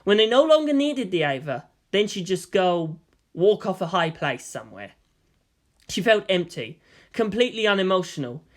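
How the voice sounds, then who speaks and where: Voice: mid-range voice, big pitch swings, normal speed. A narrator giving a dramatic scripted reading of a story.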